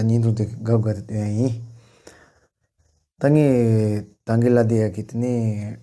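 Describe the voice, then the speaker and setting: Male tutorial presenter, low and fairly monotone, unscripted, with halting phrasing. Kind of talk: unscripted software tutorial narration.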